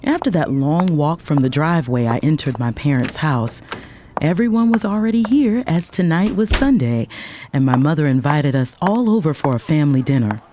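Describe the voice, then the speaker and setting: A woman playing a proud, composed young lawyer and elder sister, narrating and acting in a first-person audio drama with deliberate expression. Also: low voice, animated, dark tone, a little hiss or hum. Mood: reflective.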